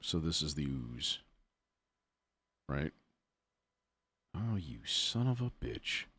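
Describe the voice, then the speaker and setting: A man with a very low voice walks through a music production demo in an unhurried way. He thinks aloud casually, muttering to himself and using folksy turns of phrase. The delivery is slow and informal. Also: big pitch swings, vocal fry, pushed and bright, husky, dry recording. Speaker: music production tutorial host.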